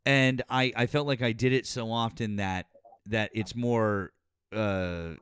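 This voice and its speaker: A man's voice: on the low side, steady tone, fairly bright tone, smooth, dry recording, clean studio sound. A man from Massachusetts hosting a live stream in a casual chat. He jokes, restarts his sentences often and speaks in a mostly general American accent with light Boston traces. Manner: halting, many restarts, joking.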